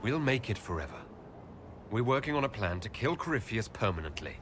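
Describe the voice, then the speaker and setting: Supportive hero leader man, game cutscene, lowish voice, lively intonation, slight bg noise. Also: fairly quick. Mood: reassuring. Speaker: player hero. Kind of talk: video game character acting.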